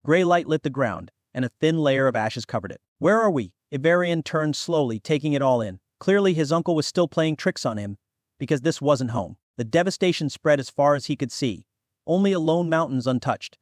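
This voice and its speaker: A man's voice: on the high side, expressive, a little fast, dry recording. Dramatic male fantasy narrator, dry sound. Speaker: audiobook narrator.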